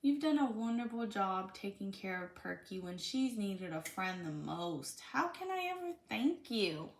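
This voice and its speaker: A woman's voice: medium pitch, sing-song, a little slow, recorded from a distance. A woman voicing a grateful grown-up dog owner in a storybook. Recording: echoey room.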